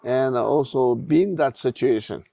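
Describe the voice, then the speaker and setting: A middle-aged man with a Tibetan accent, speaking Tibetan as his first language, answers interview questions at a very unhurried pace. His voice carries a gravelly rasp, and the recording sounds dry and close. He talks haltingly, restarting phrases and pausing often. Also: mid-range voice, mellow, no room sound. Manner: halting, many restarts and fillers. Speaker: podcast guest.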